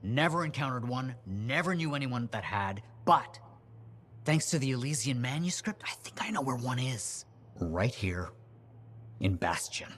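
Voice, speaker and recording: An actor playing an excitable, breezy inventor and overconfident schemer in a video game, with a husky voice. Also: male voice, medium pitch, unhurried, slight background noise. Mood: eager, confident. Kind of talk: video game cutscene dialogue.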